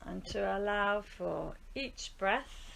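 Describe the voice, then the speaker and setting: A woman leading a live guided yoga relaxation in a soothing, unhurried manner, often softening to a breathy near-whisper as phrases end. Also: mid-range voice, lively intonation, poor audio. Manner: soothing, unhurried, with long pauses. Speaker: yoga nidra teacher. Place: rural yoga studio, live group session. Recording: faint background noise with outdoor ambience. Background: birdsong and ambience from outside.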